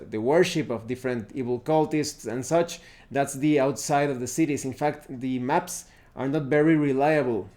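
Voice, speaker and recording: Man explaining games in halting phrases. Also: medium pitch, darkish tone. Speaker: tabletop RPG reviewer, presenter. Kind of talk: unscripted video review, explanatory.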